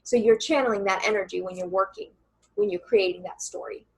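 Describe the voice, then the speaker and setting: A woman channeler and coach with a loud voice, earnestly talking a listener through a writing meditation in a casual interview over a video call. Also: medium pitch, sing-song, fairly quick, creaky, husky, poor audio. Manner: earnest and reassuring, many fillers.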